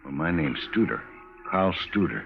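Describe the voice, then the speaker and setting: Laconic drifter, guarded, low pitch, old radio western, narrow tinny recording. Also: male voice, very animated, moderate pace, creaky, faint music behind, exaggerated voice. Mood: guarded, subdued. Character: terse, guarded drifter cowboy asking for work. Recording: thin, phone-like vintage radio sound.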